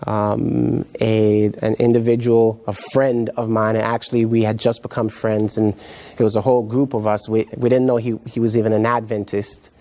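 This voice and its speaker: A middle-aged man from Jamaica, raised in New York, giving his personal testimony as a guest pastor in a halting, reflective way. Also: lowish voice, level tone, warm tone. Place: indoor TV studio set, seated.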